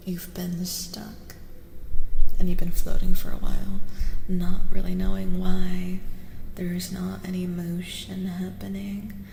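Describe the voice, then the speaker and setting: Soft-spoken, echoey ASMR woman, slow and gentle. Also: on the low side, gravelly, slight background noise. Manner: gentle, unhurried, often dropping into whispers. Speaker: streamer host giving a tarot reading. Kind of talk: soft-spoken ASMR, casual live chat and reading. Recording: echoey reverb with soft music.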